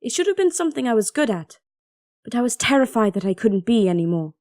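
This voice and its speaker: A woman's voice: fairly high pitch, big pitch swings, fast talker, darkish tone. A woman acting in an audio drama as a formal, self-doubting young princess with an earnest manner. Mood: reflective.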